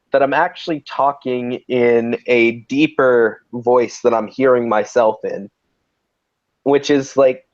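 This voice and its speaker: A man's voice: mid-range voice, darkish tone, no room sound. A man with an androgynous voice chatting on a livestream, rambling and restarting his sentences as he thinks aloud. The sound is dull and lo-fi, close and dry with no room around it. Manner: rambling, many restarts and fillers. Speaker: host. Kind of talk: casual livestream chat.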